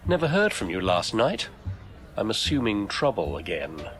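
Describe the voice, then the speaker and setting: An actor playing a businesslike, skeptical agency colleague who asks brisk, clipped questions in an audio drama. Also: male voice, fairly low pitch, huge pitch swings, over music. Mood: surprised, probing.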